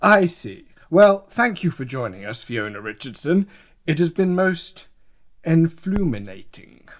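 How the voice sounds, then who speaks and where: A man's voice: a little high, very animated, unhurried. An actor playing a polite, sceptical male news presenter, questioning formally and incredulously in a mock broadcast interview. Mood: politely formal.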